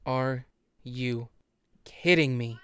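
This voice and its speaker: A woman plays a sharp-tongued fairy queen in an audio drama, closely and dryly recorded. She speaks slowly, with weary sarcasm and exasperation. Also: very deep voice, clean tone, dry recording, clean studio sound, heavily acted. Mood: exasperated. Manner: sarcastic, cutting. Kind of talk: modern audio drama acting.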